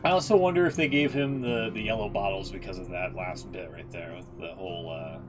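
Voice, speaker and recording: A man streaming casual reaction commentary, talking in an informal, halting, sweary way. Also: low voice, over music. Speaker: game streamer.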